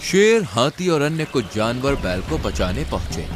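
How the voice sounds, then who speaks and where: A man's voice: medium pitch, very animated, unhurried, soft background music. A man narrating an animal fable in a dramatic storytelling style.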